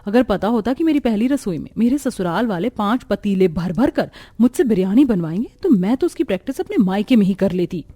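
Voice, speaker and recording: A woman's voice: medium pitch, lively intonation, a little fast, few pauses, warm tone, natural voice. A woman voicing an earnest, often complaining young daughter-in-law in a Hindi cartoon story, dutiful but overworked. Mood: exasperated.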